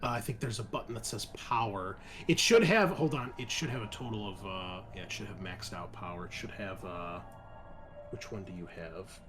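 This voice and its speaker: A man's voice: lowish voice, normal speed. A man acting as game master, casually narrating a tabletop game and explaining things in a hedging way. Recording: music playing behind the voice. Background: music.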